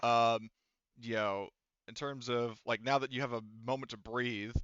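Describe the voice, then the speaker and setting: A man's voice: medium pitch, fairly even intonation, a little slow, a bit bright, clear, resonant tone, no echo, dull audio. A man narrating a tabletop roleplaying game as game master, casual and often profane.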